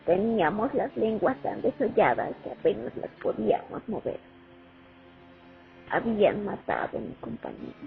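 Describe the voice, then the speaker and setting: A woman's voice: mid-range voice, big pitch swings, moderate pace, creaky, soft background music. A woman playing a young Soviet sniper haunted by her war memories in a radio play. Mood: weary, reflective. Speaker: actor in a student radio drama. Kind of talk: dramatic first-person monologue, radio play. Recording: muffled phone-like recording.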